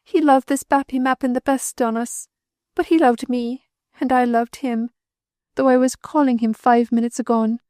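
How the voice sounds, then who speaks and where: A woman's voice: high voice, fairly even intonation, normal speed, dark tone, dry recording, studio quality, voice pushed up, lightly acted. A woman voicing an ailing, grieving poor wife with a put-on Northern English dialect, querulous and rising into wild pleading. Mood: frantic grief.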